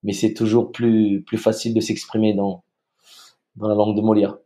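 Relaxed man from Madagascar, mellow voice. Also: on the low side, moderate pace. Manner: relaxed, easygoing, repeats words as he starts. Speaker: guest, retired soldier. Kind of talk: casual interview chat. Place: home room, video call.

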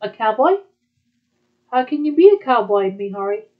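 A woman with a fairly high voice chats in a casual, conversational way. The recording is slightly dull and lo-fi. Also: big pitch swings, moderate pace. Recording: dull, muffled lo-fi audio.

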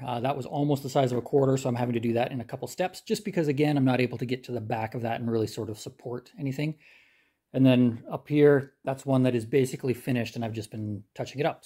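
A middle-aged man from Canada, hosting a do-it-yourself channel, explaining a hands-on repair in a relaxed, plain-spoken way while he works in a garage workshop. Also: mid-range voice. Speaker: DIY channel host. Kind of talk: hands-on how-to demonstration.

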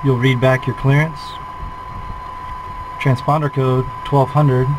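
A man's voice: medium pitch, flat delivery, takes it slowly, background noise. A flight instructor in his forties, calling out a preflight checklist in a methodical, clipped way from a small plane cockpit on a headset.

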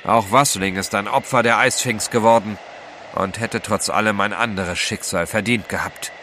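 An actor playing an earnest, gallant gentleman adventurer in an audio drama, with a formal, dramatic manner. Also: on the low side, steady tone, moderate pace, slight background noise. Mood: grave.

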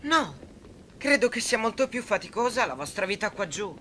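An actor voicing a naive, trusting teenage boy, the youngest brother, in an animated film dub. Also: medium pitch, normal speed, a little hiss or hum. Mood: earnest, guileless. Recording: music behind the dialogue.

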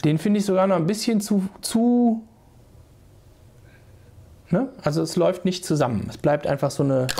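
A man's voice: sits high, moderate pace, gravelly, long pauses, dark tone. A man in his forties with a cold, casually explaining beekeeping to viewers in a small home room, talking to a desk webcam. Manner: chatty, restarts sentences, addresses viewers directly. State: hoarse from a cold. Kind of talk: casual how-to video.

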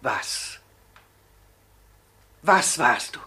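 An actor playing a shocked, anxious doctor asking questions in a German crime radio play. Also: male voice, medium pitch, lively intonation, drawn-out words, sharp tone, full character voice. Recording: distant, echoey, very noisy old radio recording.

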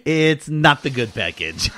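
A man's voice: fairly high pitch, very animated, slow pace, bright, forceful tone. A man co-hosting a casual comedy podcast, chatting in a jokey way with frequent laughter. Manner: jokey, laughs often while talking. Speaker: podcast co-host. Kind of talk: casual comedic group chat.

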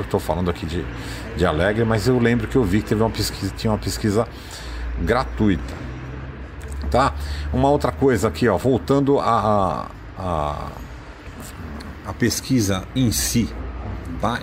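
A man's voice: fairly low pitch, noisy background. A man with a Brazilian accent, hosting a car channel, casually walking through a website in an informal, chatty voice-over. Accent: Brazilian Portuguese. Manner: chatty, informal, thinks aloud with fillers. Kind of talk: casual screen-share walkthrough.

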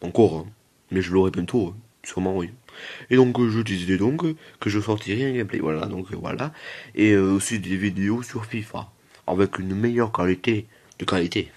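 Very deep-voiced man rambling over gameplay. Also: brisk, a little mellow, husky. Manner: repeats himself and digresses, joking with viewers. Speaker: gaming video host. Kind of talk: casual, rambling gameplay commentary.

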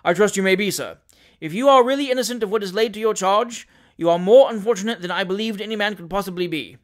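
A man's voice: high voice, quick pace, natural voice. A man voicing a pompous, taunting Victorian lawyer in an audiobook, with emphatic oratorical delivery and false politeness. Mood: self-important, officious. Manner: oratorical, emphatic, sternly self-important.

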